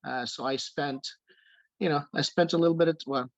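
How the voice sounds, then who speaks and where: A man's voice: fairly high pitch, fairly even intonation, brisk, no echo. A man in his forties from the Philippines, speaking casually as an organization's treasurer, hesitant with many fillers and restarts. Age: 40s. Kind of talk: casual video call remarks. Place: home room. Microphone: video call.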